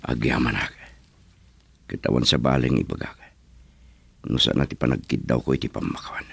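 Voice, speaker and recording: A man with a Filipino accent playing a remorseful, pleading ex-convict son in an Ilocano radio drama, with a gravelly voice. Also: rumbling low, moderate pace, dry recording, full character voice. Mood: contrite pleading. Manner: pleading, earnest.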